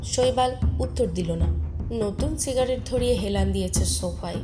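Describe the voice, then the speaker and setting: Flustered young wife, high-pitched and expressive. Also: female voice. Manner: expressive, emotional, intimate first-person telling. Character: flustered young married Bengali woman narrating her secret love. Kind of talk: dramatised short-story narration with acted dialogue. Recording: music behind the voice. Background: soft music.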